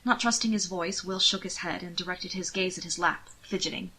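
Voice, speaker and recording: A woman's voice: lowish voice, fairly quick, sharp tone, husky, low-quality recording. A woman reading scripted fiction expressively as an audiobook narrator.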